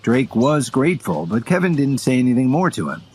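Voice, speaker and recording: A man's voice: medium pitch, sing-song, fairly quick, darkish tone, slight background noise. A man narrating a scripted audio drama as storyteller.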